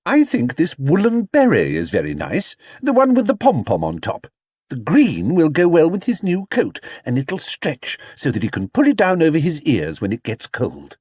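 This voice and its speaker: A man's voice: on the high side, wildly swooping pitch, moderate pace, darkish tone, husky, no room sound, studio quality, pitched above the performer's own voice, full character voice. A man voicing a fussy, polite, anxious motherly woman in a children's storybook. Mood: brisk, decided.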